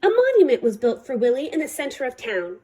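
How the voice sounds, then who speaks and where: A woman reading a children's picture book aloud in an expressive storytelling style, with measured, dramatic phrasing. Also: high voice, slightly rough. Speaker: reader of a children's picture book, library staff.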